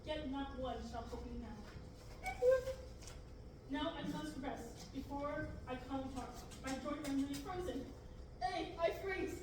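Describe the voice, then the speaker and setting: A woman's voice: high voice, close to an everyday voice. A young woman reading as a fretful fussy robot. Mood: lamenting. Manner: fretful, dramatic, stumbling over lines. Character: fussy anxious humanoid robot, male. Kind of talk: amateur table reading in verse. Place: large classroom with many seated readers. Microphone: far camera. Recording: echoey room, far-off mic, background noise.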